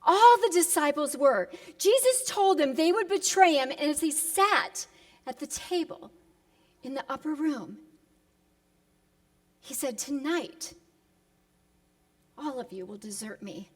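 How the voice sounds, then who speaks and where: High-voiced woman preaching slowly in echoing hall. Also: lively intonation. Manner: deliberate, solemn, emphatic pauses with swings from hushed to impassioned. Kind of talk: dramatic reflective sermon. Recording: long echoing hall reverb.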